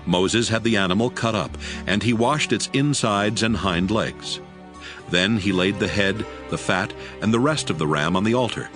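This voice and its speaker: A man reading scripted scripture narration for an audiobook in a measured, solemn way. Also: on the low side. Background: music.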